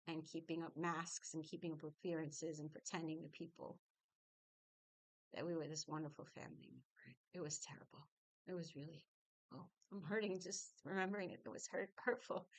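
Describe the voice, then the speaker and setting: A middle-aged woman from New York telling her childhood story, halting and reflective, with frequent fillers. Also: fairly low pitch, fairly even intonation, dry recording, low-quality recording. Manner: reflective, halting with fillers, laughs through painful memories. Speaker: singer. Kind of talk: personal storytelling.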